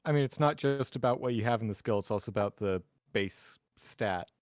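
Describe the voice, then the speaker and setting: A man's voice: on the low side, a little fast, no room sound, studio quality. A man talking casually as a player at a tabletop roleplaying game.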